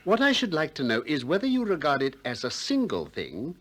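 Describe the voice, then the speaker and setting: Shrewd greek philosopher, old radio play, super varied intonation, politely ironic and probing. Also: male voice, a little high, huge pitch swings, fairly bright tone, heavily acted. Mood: courteous, ironic. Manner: deliberate, politely ironic, questioning. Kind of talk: 1960s radio drama acting.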